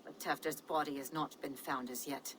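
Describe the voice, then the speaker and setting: A woman playing a calm, shrewd ally and guide in a video game, measured and grave in manner. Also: a bass voice, slight background noise. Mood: measured, concerned. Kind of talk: dramatic video game acting.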